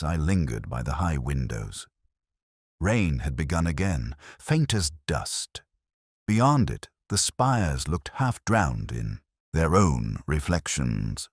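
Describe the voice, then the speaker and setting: A man with an extremely deep voice narrates a detective story in the first person. His delivery is measured and reflective, with a literary turn and lively movement in pitch. The sound is dry, with very little room around it. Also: no room sound.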